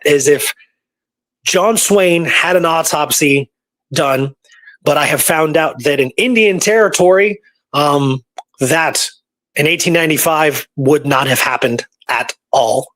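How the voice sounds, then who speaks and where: Emphatic man with a higher-pitched voice. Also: measured pace, a bit bright, no room sound, low-quality recording. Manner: emphatic, repeats points for stress. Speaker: co-host of a history talk show. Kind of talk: casual conversational history discussion.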